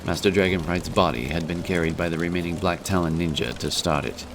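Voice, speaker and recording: A man giving scripted narration of a fantasy story in a measured, serious manner. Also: low voice, fairly even intonation, background noise.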